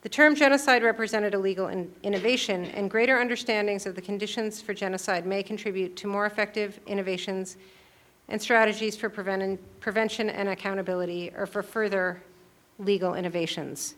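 A woman presenting her research at a panel, reading a prepared paper in a measured, formal way. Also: medium pitch, fairly even intonation, a bit bright. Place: lecture room at a panel event.

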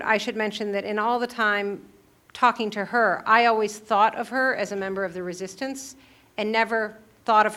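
A woman presenting her research at a panel, reading a prepared paper in a measured, formal way. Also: mid-range voice, a bit bright. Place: lecture room at a panel event.

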